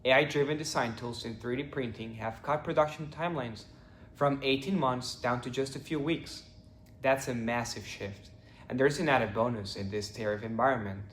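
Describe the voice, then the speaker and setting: A young man from Ecuador, a finance student, giving a prepared class presentation at a steady pace with the odd stumble. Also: medium pitch, moderate pace, echoey room, slight background noise. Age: young adult.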